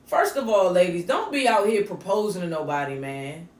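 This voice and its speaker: Slightly raspy woman, animated and blunt. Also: on the low side, normal speed. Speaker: podcast co-host. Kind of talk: casual chat. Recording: distant mic in an echoey room with faint noise.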